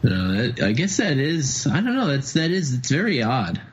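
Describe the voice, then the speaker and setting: A man's voice: mid-range voice, huge pitch swings, moderate pace, breathy edge. A man co-hosting a podcast, giving casual, laid-back and slightly hesitant reactions. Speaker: podcast co-host. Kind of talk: casual chat, brief reactions. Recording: dull lo-fi sound, faint noise.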